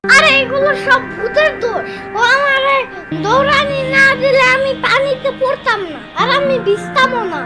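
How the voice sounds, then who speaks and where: A woman voicing a cheeky, stubborn little boy who talks back with quick, sassy retorts. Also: extremely high pitch, music in the background. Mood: defiant.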